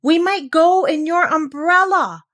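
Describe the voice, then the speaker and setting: A woman's voice: very high voice, unhurried, fairly bright tone, voice pushed up. A woman voicing a proud, simple, earnest male toy bear in a children's storybook, explaining things plainly. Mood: hopeful.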